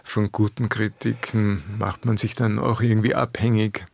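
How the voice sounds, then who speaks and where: A middle-aged Austrian man with a low, gravelly voice speaks in a dry, laconic way, chuckling now and then. The sound is close and dry, with no room around it. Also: steady tone, normal speed, a little mellow, no echo. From Austrian Alps. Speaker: author guest. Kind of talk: relaxed interview talk.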